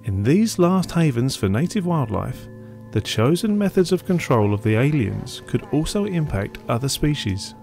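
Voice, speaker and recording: A man giving scripted narration for a public information film in a measured, informative way. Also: mid-range voice, very animated, gravelly, a little mellow, soft background music. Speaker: documentary narrator.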